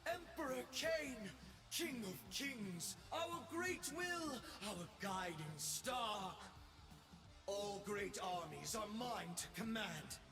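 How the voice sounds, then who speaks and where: An actor playing a gloating, unhinged young space emperor in a video game, grandiose and arrogant, swinging between shouts and hushed menace. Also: male voice, sits high, expressive, takes it slowly, distant mic, over music, heavily acted. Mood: triumphant. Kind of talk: theatrical video game voice acting.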